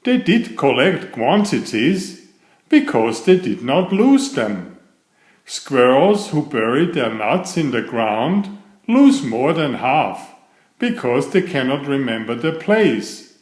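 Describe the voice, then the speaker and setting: A man reading a children's story aloud in a measured, expressive storytelling manner. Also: medium pitch, roomy sound.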